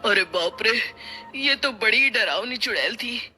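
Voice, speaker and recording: A man's voice: high-pitched, expressive, measured pace, sharp tone, faint music behind, exaggerated voice. A man voicing a cowardly farmer, frightened and pleading in a whiny way. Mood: panicked. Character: panicky, cowardly male farmer fleeing a witch.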